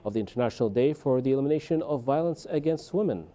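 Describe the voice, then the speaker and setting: A man's voice: medium pitch, expressive, fast talker, dark tone. A man presenting television news, reading scripted introductions and putting formal questions to a guest. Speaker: TV news anchor and interviewer.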